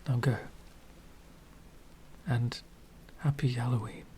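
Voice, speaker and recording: Slow, whispering elegant male vampire acted by a man. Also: mid-range voice, flat delivery, a little hiss or hum, lightly acted. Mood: gracious, wistful. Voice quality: soft, breathy whispering. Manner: formal, wistful, slow and deliberate. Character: centuries-old elegant male vampire, lonely, courteous. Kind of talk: ASMR roleplay monologue.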